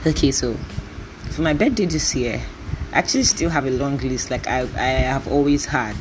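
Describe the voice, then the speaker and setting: A woman's voice: extremely low pitch, lively intonation, moderate pace. Young Nigerian woman, early twenties, solo podcast host; chatty, rambling delivery on a noisy home recording. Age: 20s. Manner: chatty, rambling, often laughing. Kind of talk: casual solo podcast chat.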